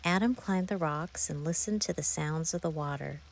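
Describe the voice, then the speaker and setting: A woman narrating a children's picture book, reading aloud in simple, clear phrases for young listeners. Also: deep voice, moderate pace. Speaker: author narrating her own children's book. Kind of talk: scripted children's storybook narration.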